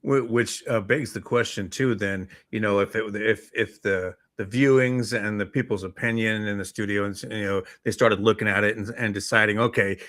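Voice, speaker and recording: A man's voice: lowish voice, fairly bright tone, no echo, studio quality. A man chatting casually as a talk show co-host, rambling and hesitant as he works through his thoughts. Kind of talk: casual chat about films and comics.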